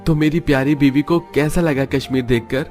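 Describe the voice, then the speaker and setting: A man's voice: a little high, fairly even intonation, moderate pace, darkish tone, soft background music, lightly acted. A man playing an affectionate, polite young husband in a cartoon story, courteous and tender. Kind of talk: Hindi cartoon story dialogue.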